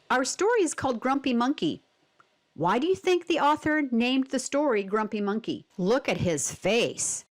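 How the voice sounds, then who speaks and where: A woman giving instructional narration and reading a picture book for children, expressive and deliberate, with prompting questions. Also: fairly high pitch. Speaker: read-aloud instructor.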